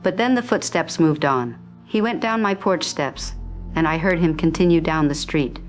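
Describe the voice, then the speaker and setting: A woman playing a frightened resident in a radio drama, giving an eyewitness account in short, halting phrases. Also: deep voice, soft background music, natural voice. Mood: shaken, fearful. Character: frightened female resident hiding at home.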